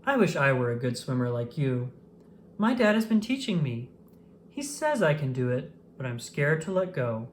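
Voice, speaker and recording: A woman's voice: a bass voice, sing-song, normal speed, a little hiss or hum. A woman reading a storybook, voicing a shy, sweet little girl who is eager to learn to swim. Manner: soft eager childlike talk.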